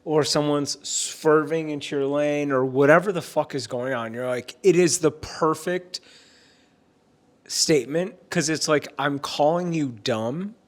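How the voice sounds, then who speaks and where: A man's voice: fairly high pitch, measured pace. A young man vlogger chatting casually and joking with his partner. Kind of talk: casual chat.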